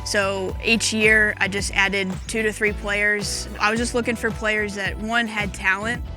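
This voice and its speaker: A woman who is a head college golf coach, giving a relaxed, conversational interview with a few casual fillers. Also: medium pitch, measured pace. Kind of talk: documentary interview. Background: music.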